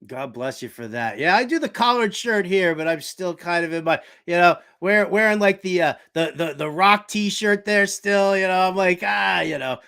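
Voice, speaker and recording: A man's voice: sits high, normal speed, gravelly, hardly any pauses, fairly bright tone, clean tone. A man hosting a sports talk show, giving casual comedic commentary in a joking, theatrical way with emphatic repetition. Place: shed used as home studio.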